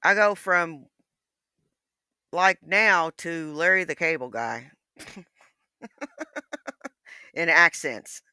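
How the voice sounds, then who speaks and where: A woman with a Southern American accent chats off the cuff. Her voice is bright and forceful, with lively swings in pitch. The delivery is rambling and playful, recorded dry. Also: drawn-out words, pauses often, smooth, no echo. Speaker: livestreamer. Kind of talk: casual chat.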